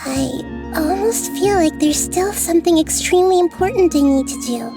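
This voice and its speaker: A woman voicing a gentle, wise, childlike little goddess in a video game, polite and thoughtful. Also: very high voice, normal speed, music in the background. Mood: pensive.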